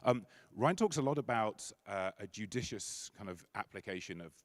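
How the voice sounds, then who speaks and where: Low-voiced chatty conference MC man. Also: animated, moderate pace, no room sound. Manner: chatty and self-deprecating, with many fillers and restarts. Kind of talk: casual stage introduction. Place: conference stage.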